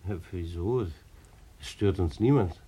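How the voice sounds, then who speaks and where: A man's voice: sits low, unhurried, mellow, husky. An actor in a German radio play, playing a retired bailiff and beekeeper in his sixties, dutiful, fussy and wounded, with a formal, reflective manner. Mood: thoughtful. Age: 60s. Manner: formal, reflective, old-fashioned official's wording. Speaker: actor narrating in character.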